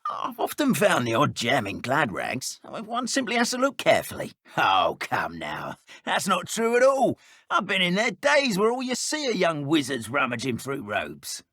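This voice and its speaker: A fussy male henchman from a fantasy game. His British voice is fairly high and swoops dramatically, recorded dry and close. He is polite, wordy and primly indignant. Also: wildly swooping pitch, moderate pace, sharp tone, no room sound, full character voice. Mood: prim, arguing. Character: fussy, affronted, polite male dark wizard criminal. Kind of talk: video game voice acting.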